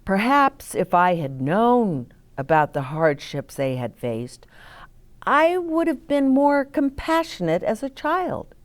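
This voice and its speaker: A woman reading picture books aloud to children as a storytime host, in a deliberate, explaining way. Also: medium pitch, very animated. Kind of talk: expressive read-aloud for children with asides to listeners.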